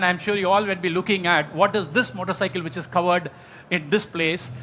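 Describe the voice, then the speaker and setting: A man's voice: high-pitched, sharp tone, slight background noise. A man giving a prepared launch speech as a company executive at a press conference.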